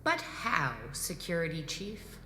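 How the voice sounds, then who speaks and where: An actress playing a composed, commanding imperial grand marshal in a sci-fi audio drama, measured and probing. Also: female voice, fairly low pitch, very animated, pushed and bright, a little hiss or hum, full character voice. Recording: echoey hall reverb, music underneath.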